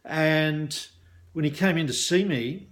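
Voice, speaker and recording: A man's voice: on the high side, slow. An Australian man, a mindset coach, talking casually to viewers in a matey, colloquial way. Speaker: business mindset coach and hypnosis practitioner. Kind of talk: casual chatty video talk to viewers.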